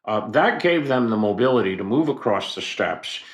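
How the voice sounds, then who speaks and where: A man's voice: lowish voice, expressive. Older male historian in an echoey room. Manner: professorial, unhurried, with many fillers and asides. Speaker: historian guest. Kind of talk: expert explanation in a podcast interview.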